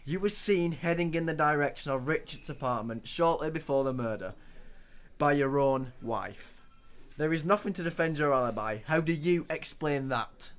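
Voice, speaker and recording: Man as blunt, higher-voiced police detective. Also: expressive, normal speed, clear, resonant tone, lightly acted. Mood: suspicious, pressing. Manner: accusing, terse. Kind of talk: dramatic audio scene acting.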